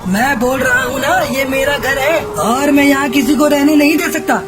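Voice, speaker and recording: High-voiced, bossy crow played by a woman. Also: fairly even intonation, normal speed, close to an everyday voice. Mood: scolding. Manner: brusque, commanding. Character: gruff, scolding male crow who owns the nest. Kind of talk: Hindi animated moral-story dubbing. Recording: music behind the voice.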